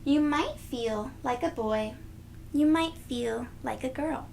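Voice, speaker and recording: A woman reading a storybook aloud to young children, expressive and gentle, speaking directly to them. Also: sits high, takes it slowly, creaky, a little hiss or hum. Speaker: children's read-aloud host.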